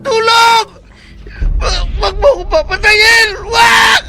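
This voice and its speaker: An actor playing a jumpy, blustering criminal on the run in a melodramatic horror radio drama. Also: male voice, very high voice, level tone, slow pace, gravelly, sharp tone, raised voice, soft background music, full character voice. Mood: screaming terror. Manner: melodramatic, muttering to himself, crying out. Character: frightened male fugitive criminal, jumpy and blustering.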